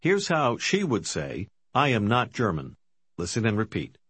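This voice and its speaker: A man's voice: on the low side, huge pitch swings, fairly bright tone, no room sound. A man giving scripted instructions as the narrator of a language course. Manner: clear, measured prompting. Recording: dry studio.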